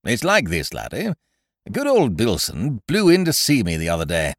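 A man's voice: medium pitch, wildly swooping pitch, moderate pace, no echo, studio quality, voice pushed up. Man acting breezy, wheedling scrounger, wildly swooping voice. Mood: confiding. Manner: effusive, cajoling, old-fashioned British slangy address. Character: breezy male scrounger, wheedling, overfamiliar, falsely hearty chum.

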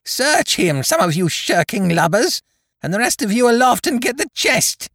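A man voicing an enraged blind old pirate leader in an audiobook, barking cruel commands in a gravelly shout. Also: very high-pitched, sing-song, no room sound, clean studio sound, pitched above the performer's own voice, heavily acted. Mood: furious commanding. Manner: shouted commands, drawn-out angry exclamations.

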